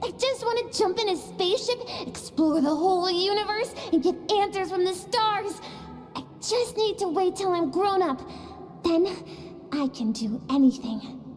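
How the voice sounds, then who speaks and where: A woman voicing a bright, eager little girl full of big dreams in an anime-style game. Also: extremely high pitch, moderate pace, long reverb tail, faint music behind. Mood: wistful, eager.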